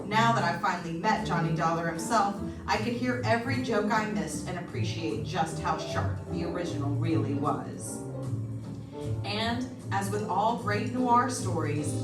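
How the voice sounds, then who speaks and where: A woman hosting a radio drama performance, reading a scripted theatrical introduction with measured, dramatic phrasing. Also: lowish voice. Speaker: host and announcer of a live radio show. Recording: roomy stage, distant mic, loud music behind.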